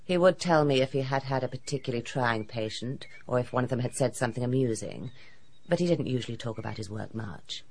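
A woman's voice: extremely low pitch, fast, a little mellow. An actress playing a reserved, sensible woman in a radio drama, speaking plainly and with composure. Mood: composed, reflective. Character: dentist's reserved, sensible sister.

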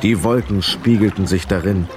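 A man's voice: sits low, moderate pace, music in the background, lightly acted. A man narrating a children's adventure tale as the storyteller of a radio play. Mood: storytelling.

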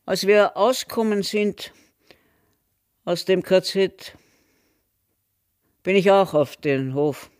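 Casting: grave Bavarian storyteller, slow halting delivery, mid-pitched, dry studio sound. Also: male voice, clear, resonant tone, no echo. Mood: reflective, grave. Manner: measured, halting phrasing. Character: reflective camp escapee recounting the past. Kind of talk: radio drama narration.

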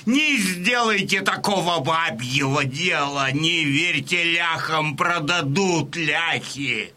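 A man acting a proud wounded Cossack veteran who tells war news in a declamatory, deliberate way, in an audio drama. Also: on the high side, sharp tone, exaggerated voice. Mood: solemn, stirring.

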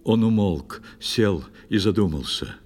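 A man narrating a fairy tale in expressive, theatrical verse for an audio play for children. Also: lowish voice, unhurried. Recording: studio narration over soft music.

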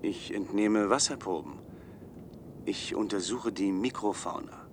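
Male actor as awkward young researcher, lower-pitched, earnest, hesitant, sci-fi radio play, noisy rumbling recording. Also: unhurried, lightly acted. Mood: gentle, cautious. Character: awkward young male biochemistry doctoral student in protective suit. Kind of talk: German science-fiction radio drama acting.

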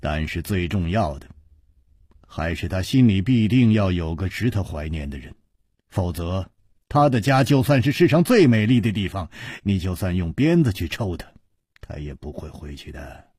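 A man voicing a calm, gently wise gentleman hero in a storybook, in a measured, lightly amused way. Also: sits low, husky, no echo, clean studio sound, full character voice. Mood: earnest. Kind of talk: audiobook character voice.

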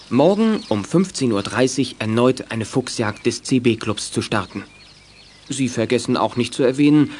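An actor serving as narrator, reading scripted narration for a German children's radio play. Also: male voice, mid-range voice, a little hiss or hum, natural voice. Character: storytelling narrator.

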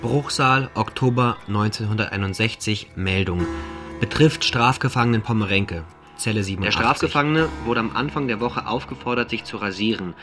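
A stern male official played by an actor reads formal documents in a radio play. His voice is on the low side, with music clearly audible behind it. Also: fairly quick, natural voice. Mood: dry, official. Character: stern official male reader of press commentary and prison reports.

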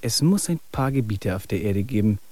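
Weary traveler, wry humor, reflective, radio play, dry close sound. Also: male voice, fairly low pitch, animated, moderate pace, mellow, no room sound. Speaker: main character.

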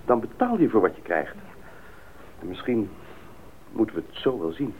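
A man's voice: lowish voice, sing-song, normal speed. A man in a radio play playing a thoughtful, knowledgeable expedition member, explaining earnestly with some hesitation. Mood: earnest, reflective. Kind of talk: Dutch radio play acting. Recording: tinny old radio recording, faint noise.

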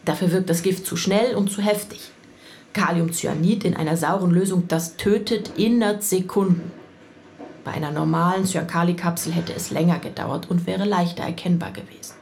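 A woman playing a composed police inspector in a radio play, speaking in a measured, factual way with a gravelly edge. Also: fairly low pitch, a little mellow, a little hiss or hum, close to an everyday voice. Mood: matter-of-fact. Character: composed, matter-of-fact female police inspector. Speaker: voice actor in an audio drama. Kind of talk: German radio play acting.

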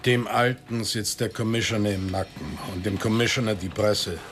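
An actor playing a gruff, laconic middle-aged veteran police sergeant in a German radio drama. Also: male voice, on the low side, steady tone, normal speed, close to an everyday voice. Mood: dry, matter-of-fact. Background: music.

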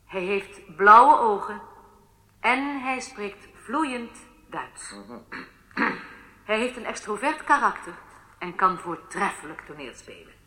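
An actress playing a businesslike intelligence aide, reading facts deliberately in a radio drama, recorded in an echoey, reverberant space. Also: female voice, medium pitch, animated, sharp tone. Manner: deliberate, factual, clipped phrases. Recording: echoey room with long reverb.

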